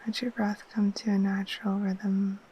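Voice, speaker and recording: A woman gently guiding a sleep meditation in a soft, breathy, half-whispered voice, soothing and unhurried. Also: mid-range voice, a little hiss or hum, low-quality recording. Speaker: yoga nidra guide. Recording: faint steady wash of ocean waves.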